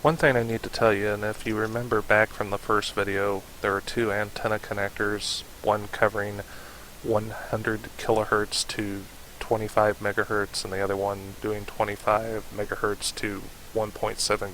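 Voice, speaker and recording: A man narrating a casual software tutorial, informally thinking aloud as he demonstrates, in a hissy home recording. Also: fairly low pitch, monotone, normal speed, low-quality recording. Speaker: tutorial host.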